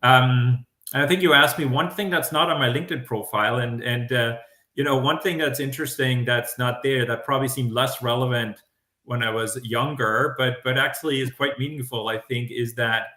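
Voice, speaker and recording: A man's voice: mid-range voice, steady tone, normal speed, pushed and bright, echoey room. A middle-aged Danish man with a Danish accent, a guest speaking in a livestreamed interview, thoughtful and full of fillers and restarts. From Denmark. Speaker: guest executive.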